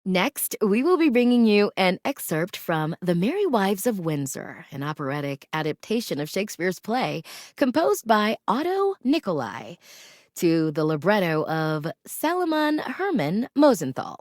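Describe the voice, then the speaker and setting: An actress playing a cultured, gracious public radio host in a video game, presenting classical music in a measured, articulate and formal way. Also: female voice, medium pitch, big pitch swings, a little mellow, no room sound, clean studio sound. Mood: poised, informative.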